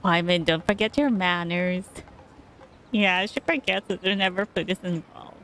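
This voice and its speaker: A woman's voice: fairly low pitch, moderate pace, fairly bright tone, a little hiss or hum. A woman giving casual, musing reaction commentary as she streams a video game.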